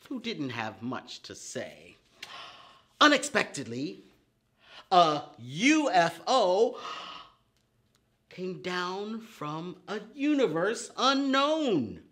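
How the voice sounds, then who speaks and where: A middle-aged man hosting a preschool storytime, teaching and reading in a theatrical, drawn-out way with gasps and dramatic pauses. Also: on the high side, a bit bright. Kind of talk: children's storytime lesson with expressive poem reading. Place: indoor set with painted forest backdrop.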